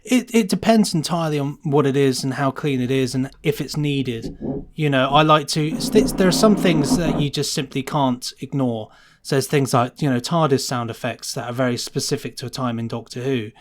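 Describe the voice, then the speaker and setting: A man's voice: mid-range voice, normal speed, noisy background. Enthusiastic man chatting casually. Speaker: guest sound designer. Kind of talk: casual podcast interview.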